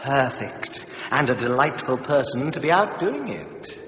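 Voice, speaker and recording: A mischievous devil in a radio drama, smooth and flirtatious. His voice swings widely in pitch with slow, drawn-out phrasing. The recording is roomy with a long reverb and a dull, muffled tone. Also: sing-song, background noise. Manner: smooth, teasing, playful with sudden yelps. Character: sly scheming male devil, flirtatious and mischievous.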